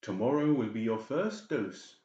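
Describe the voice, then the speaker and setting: A man's voice: mid-range voice, big pitch swings, measured pace, warm tone, echoey room, highs cut off. A man voicing a cold, manipulative doctor in a horror audiobook, mock-polite and menacing. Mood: cold, sharp. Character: cold, conniving, balding doctor, falsely soothing villain. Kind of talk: dramatised horror audiobook dialogue.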